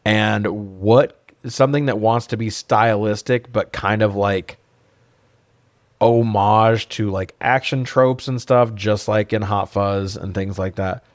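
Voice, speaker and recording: Wry man, low-pitched podcast chat. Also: steady tone, takes it slowly, no room sound, studio quality. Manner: informal, wry, rambling asides. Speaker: podcast co-host reviewing films. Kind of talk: casual film chat with swearing.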